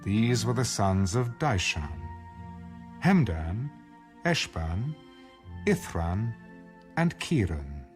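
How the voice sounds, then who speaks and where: A man narrating an audio Bible, reading scripture in a measured, solemn way with careful enunciation. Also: fairly low pitch, sing-song, soft background music.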